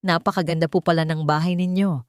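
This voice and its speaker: A woman's voice: on the low side, fairly even intonation, fast talker, clean tone, dry recording, studio quality, close to an everyday voice. A woman voicing a polite, shrewd female broker in a dramatic story, courteous and direct, with a Filipino accent.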